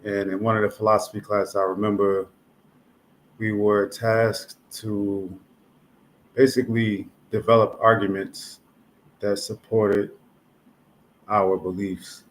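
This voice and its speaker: Low, flat-voiced man musing. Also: slight background noise, rough recording. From North New Jersey, USA. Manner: measured, thoughtful, musing aloud with fillers. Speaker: artist explaining his own concept. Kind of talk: reflective spoken monologue.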